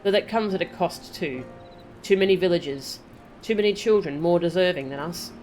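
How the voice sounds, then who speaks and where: A woman's voice: lowish voice, moderate pace, a little mellow, soft background music, close to an everyday voice, poor audio. A woman voicing a weary, grateful male resistance soldier from a game in a plain-spoken, earnest way. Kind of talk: reading game dialogue in character.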